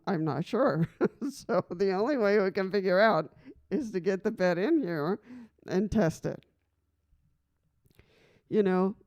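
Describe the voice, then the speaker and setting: Middle-aged lady from costa rica, slow easy vlog chat, smooth clear voice, rambles w lots of you-knows. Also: fairly low pitch, darkish tone. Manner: chatty and rambling, with many fillers and frequent laughs. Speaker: vlogger. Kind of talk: casual personal vlog talk.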